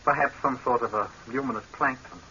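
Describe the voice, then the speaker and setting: An actor playing an earnest, deferential young doctor in an old radio horror drama, speaking formally and in a clipped way. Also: male voice, mid-range voice, a little fast, bright, forceful tone. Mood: eager. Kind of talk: 1930s-40s radio horror acting. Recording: hissy, muffled old radio recording.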